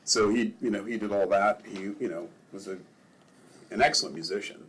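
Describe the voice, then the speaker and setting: An older man from Maryland, a ward councilman, telling relaxed stories in a casual interview and chuckling often. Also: fairly low pitch, fairly quick, husky, poor audio.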